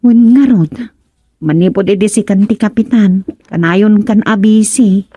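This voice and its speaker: A homely village wife in a radio comedy, teasing and chatty. Her voice is mellow and sits close and dry, at an everyday middle pitch. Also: measured pace, slightly rough, no room sound, highs cut off. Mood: cheerful, hospitable. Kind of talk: Ilocano radio comedy drama acting.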